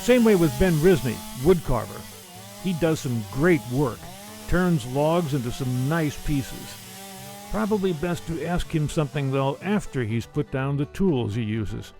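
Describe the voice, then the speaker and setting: A male feature-show host with a fairly high voice narrates in a folksy, reflective way. His phrasing is deliberate, like a storyteller. Music plays behind him. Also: lively intonation, darkish tone.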